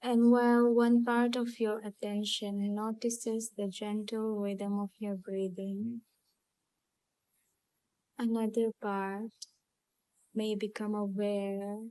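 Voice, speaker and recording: A woman leading a guided visualisation in a calm, soothing, hypnotic storytelling manner, leaving long pauses between phrases. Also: mid-range voice, no echo. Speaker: hypnotherapy channel host and guide.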